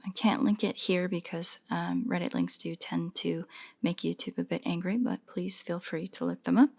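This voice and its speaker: A soft-spoken woman casually addressing her listeners in a relaxed way, as an audio roleplay creator. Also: fairly low pitch, moderate pace, creaky. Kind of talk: casual outro thanking listeners.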